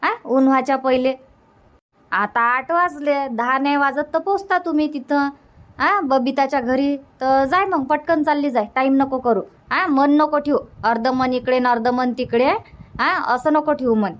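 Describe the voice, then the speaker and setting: A woman voicing a bossy older village mother-in-law in a cartoon comedy, brisk and repetitive, with a Varhadi Marathi accent. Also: high voice, close to an everyday voice. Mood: bossy, urging. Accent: Varhadi Marathi from Vidarbha.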